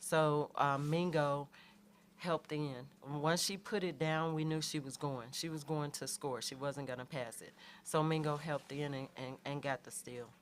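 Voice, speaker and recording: A middle-aged woman, a head coach answering questions in a halting way, with many fillers and restarts. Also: low voice, fairly even intonation, slight background noise. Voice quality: soft voice. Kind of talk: postgame press conference answers.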